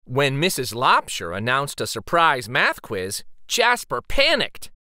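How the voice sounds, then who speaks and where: A man reading a children's story aloud dramatically, with theatrical, suspenseful pauses. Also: mid-range voice, wildly swooping pitch, pushed and bright, no room sound, clean studio sound.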